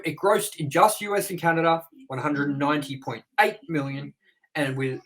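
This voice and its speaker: Male co-host, higher register, casual chatty reviewer, asks lots of questions. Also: a bit bright, rough recording. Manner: reads figures, then prompts partner with questions.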